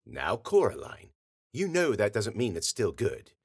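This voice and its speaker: Man playing a silky, posh older gentleman. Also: on the low side, wildly swooping pitch, no room sound, studio quality, full character voice. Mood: patient chiding. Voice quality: smooth and silky. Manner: measured, patient scolding. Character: posh, menacing older gentleman, indulgent sinister father.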